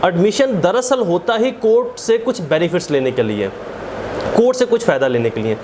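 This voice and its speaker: Pakistani guy teaching law, pretty high voice, says key points over again, noisy background. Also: sing-song, moderate pace. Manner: emphatic, repeats and rephrases key points. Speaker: law lecturer. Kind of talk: explanatory lecture with English legal terms.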